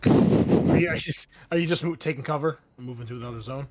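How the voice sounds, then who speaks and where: A man's voice: mid-range voice, quick pace, darkish tone. A man running a tabletop roleplaying game as game master, explaining rules and narrating with frequent fillers and restarts.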